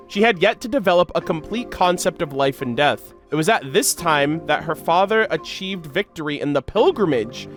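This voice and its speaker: A man with a notably high voice reads game lore aloud as a reaction host. His delivery is expressive and moves between narration and casual, slangy commentary. Music plays behind him.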